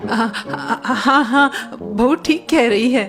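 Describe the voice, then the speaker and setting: Greedy cartoon mother-in-law played by a woman: middle-aged, scheming, highish voice, theatrical drawn-out exclamations, music underneath. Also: fairly bright tone. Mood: flustered. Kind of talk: cartoon moral-story voice acting.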